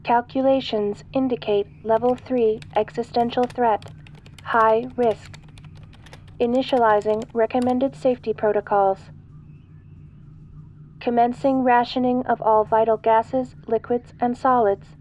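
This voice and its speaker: A woman's voice: fairly high pitch, fairly even intonation, unhurried, a little mellow, lo-fi sound, a little hiss or hum, lightly acted. A woman voicing an impassive spaceship computer, giving formal, clipped announcements in a sci-fi audio roleplay. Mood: impassive, warning. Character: impassive female ship computer, procedural, alarm-giving machine.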